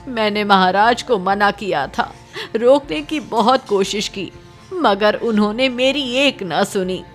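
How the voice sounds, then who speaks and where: A woman's voice: mid-range voice, slow pace, soft background music, close to an everyday voice. A woman putting on a distraught queen. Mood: anxious. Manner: anxious, pleading. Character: worried grieving queen.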